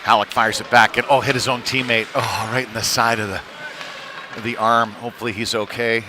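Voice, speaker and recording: A man's voice: mid-range voice, level tone, a little fast. A man giving casual, unpolished live play-by-play commentary on a youth hockey game, his delivery rising with the action. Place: cold ice rink during a live stream. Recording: clear venue noise behind the voice.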